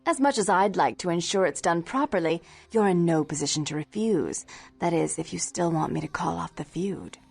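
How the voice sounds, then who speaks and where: A haughty vampire nightclub proprietress in a video game. The voice is low, with prim, formal diction and a condescending, controlling manner, over music. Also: female voice, normal speed, gravelly, breathy edge. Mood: businesslike, imperious. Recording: music behind.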